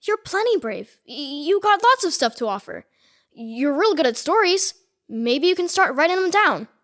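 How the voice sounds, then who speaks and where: A woman's voice: very high voice, very animated, normal speed, a bit bright, heavily acted. A woman playing an earnest, folksy small-town country kid in an audio horror drama. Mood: shyly tender.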